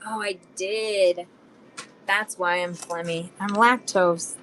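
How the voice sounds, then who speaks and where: A woman's voice: on the low side, steady tone, slow, a bit bright, a little hiss or hum, poor audio. A woman running a live online sale, chatting casually with buyers as she shows beads and charms, her throat a bit phlegmy. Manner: chatty, hums and sings to herself between items. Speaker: live auction seller. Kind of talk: live selling stream chat.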